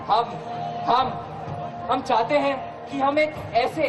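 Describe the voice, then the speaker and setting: A man narrating the close of a stage play in an emphatic, halting, oratorical style. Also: extremely high pitch. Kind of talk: declaimed closing stage speech in Hindi. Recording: distant mic, loud music and crowd.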